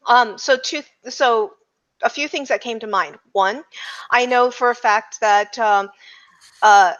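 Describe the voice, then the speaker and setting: A woman on a casual podcast roundtable as a panelist, speaking politely and emphatically but haltingly as she builds her points. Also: fairly high pitch, fairly bright tone. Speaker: podcast panelist discussing a film. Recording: slightly dull lo-fi audio.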